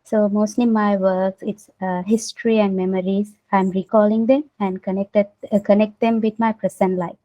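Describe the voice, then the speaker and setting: A woman's voice: medium pitch, level tone, normal speed, few pauses, dark tone. A woman with a Sri Lankan accent answering interview questions as an artist, hesitant and searching for words. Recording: dull lo-fi recording.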